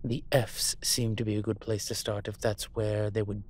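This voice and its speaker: A man playing a suave incubus demon professor in a roleplay audio drama, measured, wry and teasing. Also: fairly low pitch, flat delivery, lightly acted. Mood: focused, instructive.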